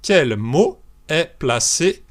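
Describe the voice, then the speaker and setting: A man from France teaching a beginners' French lesson, speaking model sentences slowly and deliberately for learners to repeat. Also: fairly high pitch, animated.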